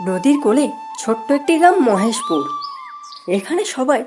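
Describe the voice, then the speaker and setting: A woman's voice: on the high side, very animated, normal speed. Woman storyteller with music behind. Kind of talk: scripted cartoon fairy-tale narration.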